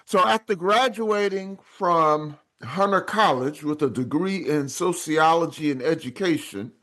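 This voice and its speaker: A man's voice: fairly high pitch, measured pace, a bit bright. A man hosting an interview podcast over a video call, enthusiastic and full of fillers. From Brooklyn, New York. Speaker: podcast host.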